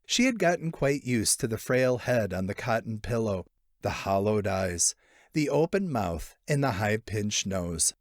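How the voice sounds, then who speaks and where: A man narrating a literary short story in an expressive, theatrical way. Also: lowish voice, unhurried, no echo, studio quality. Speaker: audiobook narrator.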